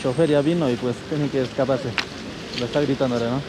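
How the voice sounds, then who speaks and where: A man's voice: medium pitch, fairly even intonation, fast, very noisy. A man explaining local mining in a matter-of-fact way outdoors in a mining town street, often ending with tag questions. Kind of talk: casual explanation.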